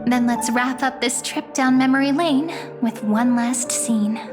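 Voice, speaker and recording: A woman voicing a gentle young storyteller in a video game cutscene, guiding a guest with playful, wistful narration. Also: high-pitched, unhurried, music in the background. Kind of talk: scripted game cutscene dialogue.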